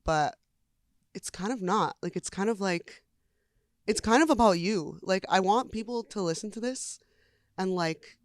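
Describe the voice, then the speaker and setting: Chatty young woman with a smooth voice. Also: mid-range voice, lively intonation, measured pace, pauses often, no room sound, clean studio sound. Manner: chatty, frequent "like" fillers, laughs easily. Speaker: singer being interviewed. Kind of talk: casual interview chat.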